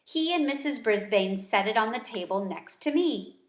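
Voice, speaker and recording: A woman with a fairly high voice reads a children's story aloud. Her delivery is expressive, shaped for storytelling, and the room adds a clear echo. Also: normal speed. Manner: expressive, acting out character dialogue. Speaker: reader of a children's book.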